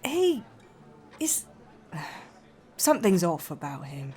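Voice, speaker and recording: A woman's voice: fairly low pitch, sing-song, slow, mellow. A woman playing a sceptical, down-to-earth blacksmith in a fantasy audio drama, dry and blunt. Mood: suspicious. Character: sceptical, wry female blacksmith, down-to-earth tavern patron.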